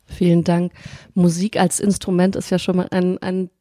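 A woman hosting a podcast on sermon ideas, chatting in a friendly way and reading a scripture passage aloud in a measured voice. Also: fairly low pitch, completely flat delivery, mellow, no echo, clean studio sound. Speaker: podcast host. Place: studio or quiet room, sitting across from the guest.

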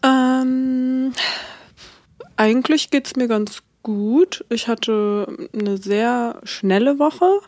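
A woman co-hosts a podcast in easygoing casual chat and laughs easily. Her voice sits at a middle pitch and sounds close and dry, with no room around it. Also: no room sound. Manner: relaxed, easygoing, laughs often. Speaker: podcast co-host. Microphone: remote recording, separate from co-host.

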